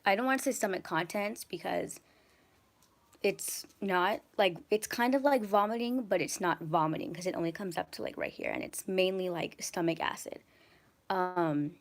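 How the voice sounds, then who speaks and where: A young woman vlogging at home with a hoarse, raspy voice, chatting casually with lots of ums and swearing while holding her phone at arm's length. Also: lowish voice. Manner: chatty, frequent ums and likes, swears freely. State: hoarse from acid reflux. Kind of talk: casual vlog rant. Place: large home kitchen and rooms, indoors. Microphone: phone camera held at arm's length, walking around.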